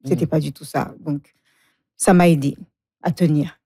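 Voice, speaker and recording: A woman's voice: low voice, fairly even intonation, moderate pace, warm tone, husky, no echo, clean studio sound. A woman in her forties from Congo, a guest speaking frankly about her life and often laughing. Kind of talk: emotional personal interview.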